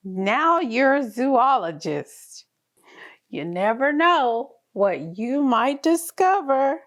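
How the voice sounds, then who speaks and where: A woman's voice: high voice, very animated, slow. A woman reading a picture book aloud to very young children, in a sing-song, encouraging, child-directed way. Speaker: children's story reader. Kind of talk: read-aloud picture book narration for toddlers.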